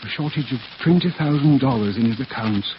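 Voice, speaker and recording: A man's voice: medium pitch, a little fast, natural voice. An actor playing a nervous, prim businessman in old-time radio drama, hesitant and flustered. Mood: uneasy, prim. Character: nervous, stuffy businessman protecting his firm. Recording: muffled, hissy old radio recording.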